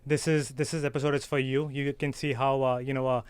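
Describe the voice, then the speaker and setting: A man's voice: medium pitch, flat delivery, brisk, clear, resonant tone, no room sound. A man co-hosting an interview podcast, addressing viewers in a conversational way. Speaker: podcast co-host.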